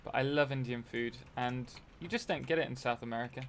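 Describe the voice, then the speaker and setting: A man's voice: mid-range voice, brisk, slight background noise. A young man hosting a casual live stream while playing a game, rambling and answering chat messages.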